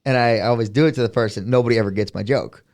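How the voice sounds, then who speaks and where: A man's voice: medium pitch, rapid-fire, darkish tone. A young man co-hosting a podcast, chatting casually and laughing through his stories. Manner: jokey, laughs while telling stories. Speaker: podcast co-host. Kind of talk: casual chat.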